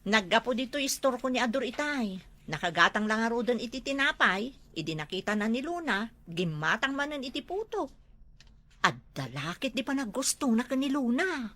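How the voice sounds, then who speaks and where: High, animated, gossipy Ilocano village woman, acted. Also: normal speed. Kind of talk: Ilocano comedy drama acting.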